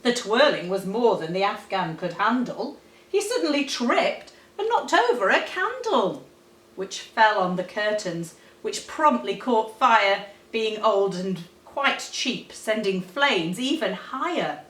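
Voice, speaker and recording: Female storyteller for rhyming children's tale, expressive and deliberate, recorded at a distance in a roomy space. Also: mid-range voice. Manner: animated, dramatic, deliberate storytelling. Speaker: teacher reading a storybook. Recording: roomy, echoey, distant microphone.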